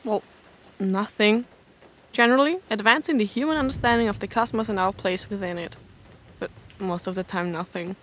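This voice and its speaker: A woman's voice: medium pitch, moderate pace, a bit bright, slight background noise, natural voice. A woman acting a weary, stranded astronaut in an audio drama, with a dry, sarcastic manner. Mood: wry, baffled.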